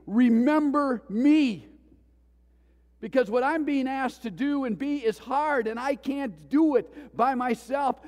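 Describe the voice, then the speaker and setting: A male pastor preaching a sermon with passion, swinging between loud pleas and hushed tones. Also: very high voice, long reverb tail. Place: church sanctuary.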